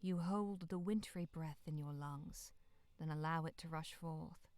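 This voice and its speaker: A woman narrating a visual novel as a solemn, poetic storyteller, calm and measured. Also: deep voice, no echo.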